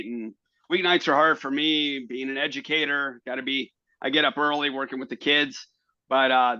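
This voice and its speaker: Bright-voiced, halting podcast co-host. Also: male voice, mid-range voice, no room sound, highs cut off. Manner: halting, restarts phrases. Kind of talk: casual chat.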